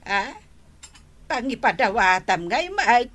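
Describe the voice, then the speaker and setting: A woman playing a fussy, flustered elderly village wife in a radio drama, hesitant and full of drawn-out exclamations. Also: a little high, big pitch swings, fairly bright tone, full character voice. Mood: plaintive, fussy. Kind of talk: radio drama acting in rural family comedy.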